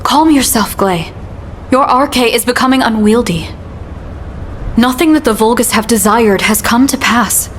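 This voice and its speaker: Cutscene ally: actress doing a composed, formal woman, soothing, fairly high-pitched. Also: moderate pace, slight background noise, close to an everyday voice. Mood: reassuring. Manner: formal, steady, reassuring. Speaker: game character. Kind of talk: video game cutscene voice acting.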